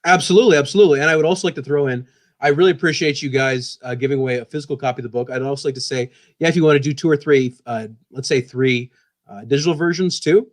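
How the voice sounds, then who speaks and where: Guest author, male, thirties, quick and eager, middle pitch, talkative with lots of "um"s. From born in the US, grew up in Pakistan. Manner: enthusiastic, talkative, many fillers. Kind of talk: casual podcast chat.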